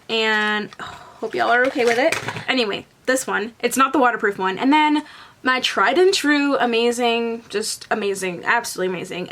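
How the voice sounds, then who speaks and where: A woman's voice: on the high side, a bit bright, slight background noise. A young woman in her twenties from Colombia with a light Southern US accent, chatting through a makeup tutorial with lots of "like" fillers. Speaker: beauty vlogger. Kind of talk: casual makeup tutorial chat. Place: bedroom at home.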